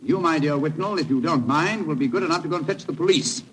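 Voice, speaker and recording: An actor playing a brilliant, superior British gentleman detective, crisp and commanding, in a 1940s style radio drama. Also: on the high side, expressive, fast, loud music behind the voice, lightly acted. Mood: urgent, assured. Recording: noisy old radio recording.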